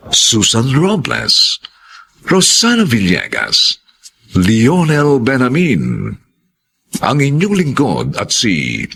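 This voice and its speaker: A man's voice: low voice, gravelly, a bit bright, slightly rough. A man with a Filipino accent formally and courteously reading a scripted radio drama sign-off as host.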